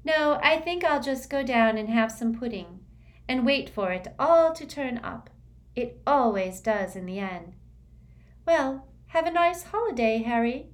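A serene, unworldly teenage witch girl from a storybook, as a woman reader puts her on. Her voice floats up high and light, airy and lilting, saying strange things quite calmly. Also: measured pace. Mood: dreamy. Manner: airy, matter-of-fact, lilting.

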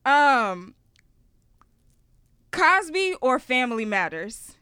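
A young woman with a bright, forceful voice hosts a relaxed podcast conversation. She fires off playful quiz questions and then shifts into thoughtful personal storytelling. Her voice is recorded dry and close. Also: sits high, very slow, smooth, no echo. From Houston, Texas, raised in Ghana. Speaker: host. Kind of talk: casual podcast chat.

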